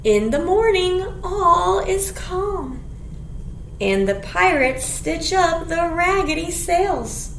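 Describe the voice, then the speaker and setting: Very high-pitched woman reading to children. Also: slow pace. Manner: expressive, clearly addressing young children, with simple questions. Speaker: children's librarian leading storytime. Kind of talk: animated children's picture-book read-aloud. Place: small indoor room. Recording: echoey small room, background hiss.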